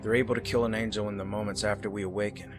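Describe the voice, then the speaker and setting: Guy acting a earnest angel soldier just woken up, lowish male voice, sci-fi game, music behind. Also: level tone, racing, natural voice. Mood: wary. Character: newly awakened genetically engineered angel soldier, male, earnest. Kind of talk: visual novel voice acting, inner monologue and dialogue.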